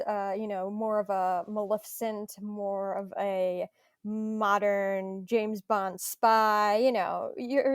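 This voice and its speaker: A woman's voice: mid-range voice, dry recording. Woman chatting casually, close dry sound. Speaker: podcast cast member being interviewed. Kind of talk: casual behind-the-scenes interview chat.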